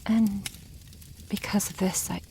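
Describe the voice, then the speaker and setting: Slow, hushed, creaky dragon woman, voiced by an actress. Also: medium pitch, fairly even intonation, a little hiss or hum. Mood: hesitant, shy. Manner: slow, hushed, often breathy half-whisper, formal wording. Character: adult female dragon, protective, tender, secretly smitten. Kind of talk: ASMR roleplay, soft intimate monologue.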